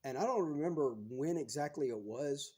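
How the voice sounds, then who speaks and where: A man in his thirties speaks as a podcast guest in frank, casual chat, swearing freely. His voice is husky and mellow, pitched a little high for a man. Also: moderate pace.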